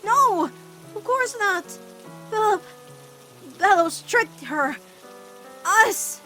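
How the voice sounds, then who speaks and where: A woman voicing an earnest, emotional teenage girl weighed down by guilt, in an animated-style comic dub, speaking haltingly. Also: very high-pitched, soft background music, pitched above the performer's own voice, full character voice. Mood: distressed, protesting. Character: guilt-ridden, self-blaming teenage human girl.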